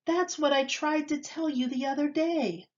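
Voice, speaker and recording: A woman's voice: sits high, moderate pace, highs cut off, pitched above the performer's own voice, lightly acted. A woman voicing an eager, earnest male zoo assistant in a children's storybook. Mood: excited.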